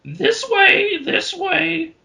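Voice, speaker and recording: A withered old troll chief, pitched far up into a raspy cartoon squeak. He is eccentric and oddly cheerful, with a sing-song, nonchalant delivery fit for a fantasy story. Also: extremely slow, pushed and bright, full character voice. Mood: cheerful welcome.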